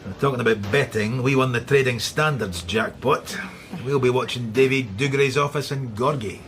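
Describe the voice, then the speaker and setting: An actor playing a sardonic, blunt Scottish police inspector in a radio drama. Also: male voice, mid-range voice, normal speed, fairly bright tone, lightly acted. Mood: dry briefing. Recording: faint background noise.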